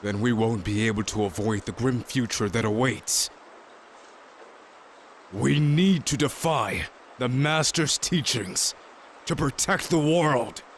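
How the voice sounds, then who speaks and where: A man's voice: mid-range voice, takes it slowly, slight background noise. A man in an amateur fandub playing a brooding, defiant young rogue warrior, intense and dramatic. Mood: grim resolve.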